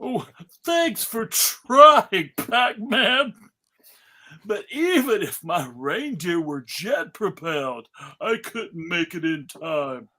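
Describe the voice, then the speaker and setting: Jolly, fretful old cartoon Santa, acted by a man: hammy, halting, unhurried, very animated. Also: sits high, heavily acted. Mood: resigned, rueful. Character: jolly but worried old Santa Claus. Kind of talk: live dramatic table read of a cartoon.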